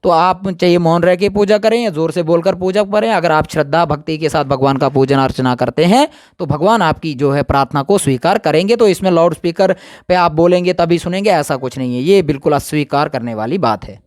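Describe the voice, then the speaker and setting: High-pitched man giving emphatic opinions. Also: a little fast, few pauses, no echo, studio quality. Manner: emphatic, repetitive. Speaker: interviewee. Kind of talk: impromptu opinion interview.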